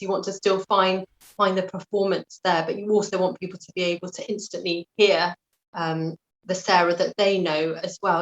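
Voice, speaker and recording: A woman's voice: medium pitch, low-quality recording. A British woman answering as an interview guest in a conversational, thoughtful, self-effacing way.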